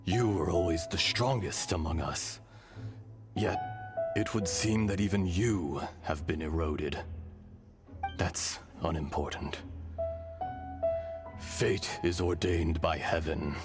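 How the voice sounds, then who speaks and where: A solemn ancient earth dragon spirit. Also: male voice, low-pitched, fairly even intonation. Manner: slow, grave, measured. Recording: studio voice with loud game score. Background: loud orchestral music.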